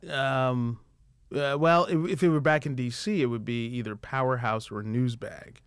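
A man from Washington, D.C., a guest actor and director, telling stories in a relaxed podcast chat and laughing often. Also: medium pitch, moderate pace, smooth, dry recording, clean studio sound. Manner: laughs often, animated anecdotes. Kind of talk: casual storytelling chat.

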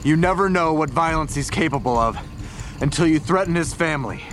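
A man's voice: fairly high pitch, a little fast, fairly bright tone, soft background music, close to an everyday voice. An actor playing a menacing, protective man in an audio drama, stern and threatening. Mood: coldly threatening. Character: Blossom, menacing protective man defying his attackers.

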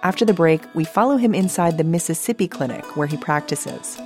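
A woman's voice: low voice, animated, fairly quick, darkish tone, faint music behind. A woman radio host delivering scripted narration and interview questions.

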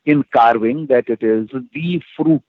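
A man's voice: mid-range voice, a little slow, slightly rough, no room sound. Man heard over a phone line. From India. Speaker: filmmaker and writer interviewed.

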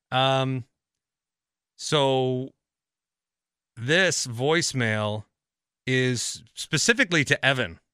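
An American man hosts a casual podcast in a bright, forceful voice. His sound is clean and very dry, close and without room echo. He talks conversationally, rambling a little as he thinks aloud. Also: mid-range voice. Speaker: podcast host. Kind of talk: casual solo podcast talk with ad reads.

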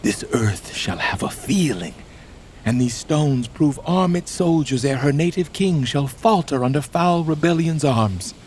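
A man's voice: a little high, animated, unhurried, darkish tone, slight background noise. An actor playing a proud, doomed young English king, speaking in grand, declamatory Shakespearean verse that swings from whispers to ringing cries. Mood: hushed. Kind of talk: Shakespearean stage acting.

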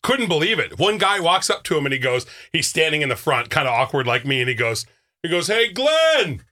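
A man's voice: a little high, quick pace, sharp tone. A man from Minnesota telling stories in a casual podcast chat, self-deprecating and laughing as he goes. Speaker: podcast co-host.

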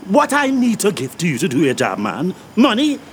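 An actor playing an outraged, complaining storekeeper in an audio drama, griping with impatient exasperation. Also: male voice, sits high, wildly swooping pitch, moderate pace, a little hiss or hum. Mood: exasperated. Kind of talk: scripted audio drama acting.

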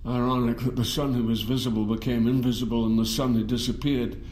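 An actor playing a confused, apologetic elderly brother in an audio drama, speaking hesitantly. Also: male voice, mid-range voice, level tone, brisk, a little mellow, natural voice. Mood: bitter grief. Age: older. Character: confused, sorrowful elderly man, long-bereaved twin brother. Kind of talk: audio fiction drama acting. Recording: roomy sound with music behind.

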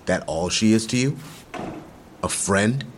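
A man's voice: fairly low pitch, animated, a little slow, a little hiss or hum. An actor playing a jealous, possessive man in an audio drama, confrontational and emphatic. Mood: jealous anger. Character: jealous, indignant, possessive male suitor.

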